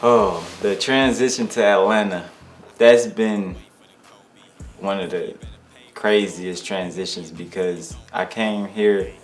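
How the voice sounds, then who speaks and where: A young man from Detroit, a rapper being interviewed, talking in a relaxed, rambling way with slang and restarts. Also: deep voice, takes it slowly, faint music behind. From Detroit, USA. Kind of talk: casual interview.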